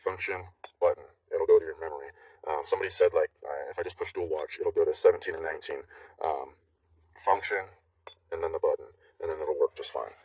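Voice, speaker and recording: Man, deep voice, rapid casual speech, plain-spoken product review, dry acoustics, lo-fi recording. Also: steady tone, no room sound. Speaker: CB radio owner giving a product review. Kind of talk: casual unscripted review chat. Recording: slightly distant mic, dull lo-fi sound.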